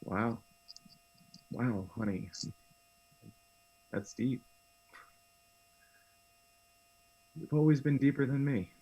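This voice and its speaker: A man's voice: medium pitch, slow, husky, dull audio. A man acting as an affectionate, apologetic husband in a radio drama. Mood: moved, loving. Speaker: actor.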